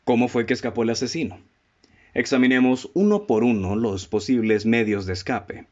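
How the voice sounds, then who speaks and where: A Mexican man acting in a student radio drama as a self-assured, methodical amateur detective who speaks in a formal, deliberate, theatrical way. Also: mid-range voice, darkish tone, close to an everyday voice. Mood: confident, probing. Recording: dull, muffled lo-fi audio.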